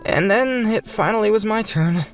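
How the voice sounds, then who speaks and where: A woman's voice: medium pitch, moderate pace, soft background music, lightly acted. A woman voicing an earnest, bewildered fifth-grade boy who narrates his own story in a manga dub. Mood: resigned. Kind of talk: scripted first-person narration in a manga dub.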